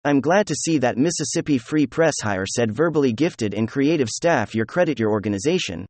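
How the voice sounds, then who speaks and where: A man's voice: medium pitch, animated, brisk, darkish tone, no room sound. A man delivering opinionated news commentary in a sarcastic, mocking manner. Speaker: political commentator.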